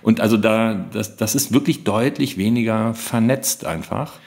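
Man, fairly low pitch, casual podcast co-host, conversational. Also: moderate pace, vocal fry, darkish tone.

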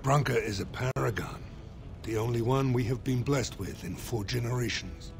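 An actor voicing a dignified older dwarf lord in a fantasy video game, speaking formally and with measured gravity. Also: male voice, mid-range voice, creaky, close to an everyday voice. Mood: grave, formal. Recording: game dialogue over prominent music. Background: loud background music.

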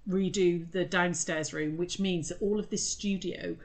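A woman's voice: lowish voice, fairly even intonation, unhurried. A woman in her forties who is full of cold, her voice snuffly and stuffed up. She chats in a bubbly, friendly way, greeting viewers one by one as she hosts a sewing tutorial. She is picked up by a desk webcam in her home craft studio. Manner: bubbly, greets viewers by name, laughs often. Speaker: craft shop owner hosting a live sewing tutorial. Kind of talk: chatty live stream.

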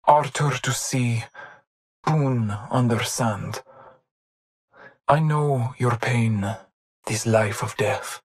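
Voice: medium pitch, very slow, long pauses. A performer playing a solemn, stoic, devout hunter in a video game, speaking in a grave, formal manner. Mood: grave sorrow.

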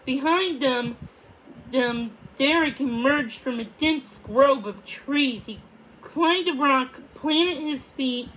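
A woman with a fairly high voice reads a children's book aloud. Her pace is slow and halting, with repeated words. Faint noise sits in the background. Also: fairly bright tone, rough recording. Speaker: storybook reader.

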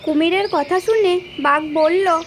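Woman telling a childrens tale, super high pitched, slow, birds and noise in the back. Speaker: storyteller. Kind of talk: scripted children's story narration. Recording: clearly noisy, birdsong behind. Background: birdsong and nature sounds.

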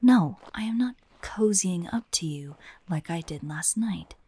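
A woman playing a prickly, guarded young hunter who secretly cares, in a soft, breathy romantic audio roleplay with a defensive, hesitant manner. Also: fairly low pitch, lively intonation, unhurried, heavily acted. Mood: defensive, flustered. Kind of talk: romantic audio roleplay acting, addressed to the listener.

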